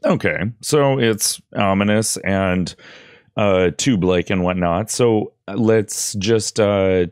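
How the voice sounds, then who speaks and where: A man's voice: deep voice, a little mellow, no echo. A man in his thirties presenting an audio tutorial in an informal, loosely explanatory way, close on a desk microphone. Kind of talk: casual screen-recorded tutorial. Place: indoors at a computer desk. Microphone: close boom-arm microphone with headphones.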